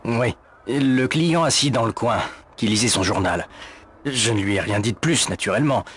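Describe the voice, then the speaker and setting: A man's voice: medium pitch, moderate pace, fairly bright tone, husky. A man acting a wary, shifty café manager in a video game fan dub, with a guarded, sardonic and hesitant manner. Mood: uneasy, evasive. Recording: faint background noise.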